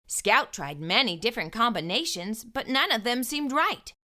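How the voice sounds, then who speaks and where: A woman reading a storybook aloud to young children, with expressive, emphatic delivery and deliberate phrasing. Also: a little high.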